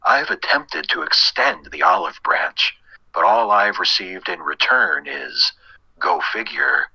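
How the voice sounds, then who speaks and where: A man giving a mock-dramatic reading as a grave, aggrieved orator, portentous and drawn-out, with a breathy stage whisper. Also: sits low, sing-song, sharp tone, dry recording, heavily acted, poor audio. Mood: melodramatic, aggrieved.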